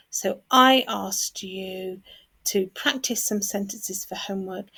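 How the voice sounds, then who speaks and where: Woman, English teacher, slow measured pace, careful instructional delivery, stressed key words, grammar lesson. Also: medium pitch, gravelly, fairly bright tone, husky, low-quality recording. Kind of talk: scripted grammar lesson for learners.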